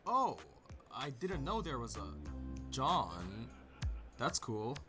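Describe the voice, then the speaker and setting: A man's voice: mid-range voice, very animated, drawn-out words, over music. A man voicing a swooping, cocky young student. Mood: awkwardly curious.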